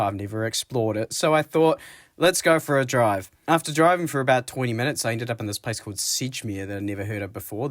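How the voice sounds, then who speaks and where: A man in his thirties with a New Zealand accent, narrating a casual daily vlog as a single dad, his voice low and rough from a cold, laid-back and self-mocking with frequent chuckles. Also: no echo, studio quality.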